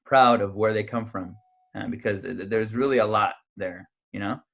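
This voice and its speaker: Animated young male comedian. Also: fairly low pitch, normal speed, creaky, highs cut off. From United States. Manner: animated storyteller, many fillers and restarts. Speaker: stand-up comedian guest. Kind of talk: casual interview chat. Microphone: video call.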